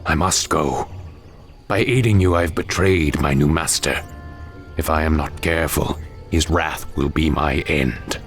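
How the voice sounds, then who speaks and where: An actor voicing a proud, brooding demonic elf sorcerer in a fantasy game, with a grave, theatrical delivery. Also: male voice, extremely low pitch, unhurried, faint music behind. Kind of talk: dramatic fantasy game acting.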